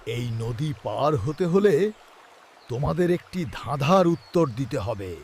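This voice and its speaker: A man's voice: on the high side, sing-song, slow, darkish tone, slight background noise, heavily acted. A man voicing a stern guardian who sets challenges in a children's fairy-tale cartoon.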